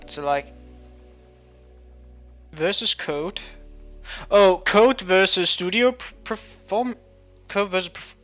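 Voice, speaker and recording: A Danish man who is not a native English speaker, streaming casual game-dev commentary in a halting way full of restarts. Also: high-pitched, animated, sharp tone, faint music behind. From Denmark. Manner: halting, many restarts and fillers. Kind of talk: casual live-stream commentary while coding. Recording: muffled lo-fi stream audio.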